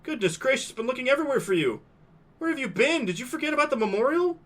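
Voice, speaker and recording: A man's voice: sing-song, quick pace, fairly bright tone, full character voice. A man playing a fussy old headmistress. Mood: frantic scolding. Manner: fussy, high-pitched scolding. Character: flustered, stern older woman school principal.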